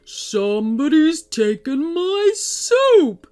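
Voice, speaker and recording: A woman voicing a big, gentle, hungry male troll who wails and draws out his words. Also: high-pitched, very animated, pitched above the performer's own voice, exaggerated voice. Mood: distraught. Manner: wailing, drawn-out.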